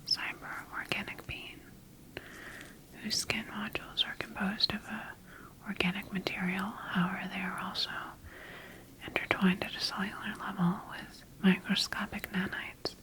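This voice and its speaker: A woman playing a calm, attentive scientist in a sci-fi ASMR roleplay, speaking in a gentle, measured, clinical manner with pauses between phrases. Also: medium pitch, low-quality recording. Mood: clinical, admiring. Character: calm, attentive female scientist studying her bioengineered creation.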